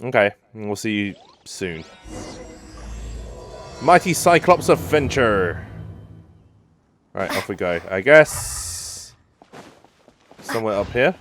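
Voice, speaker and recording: A man's voice: fairly low pitch, animated, takes it slowly, long pauses, a bit bright. A man giving casual let's-play game commentary with hesitant, murmured reactions. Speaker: gamer, let's-play host. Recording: game music behind. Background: game music.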